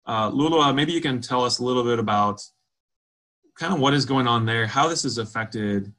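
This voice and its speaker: Male host, bright and friendly, casual pauses and restarts. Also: mid-range voice. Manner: friendly, halting with fillers. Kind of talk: video call interview.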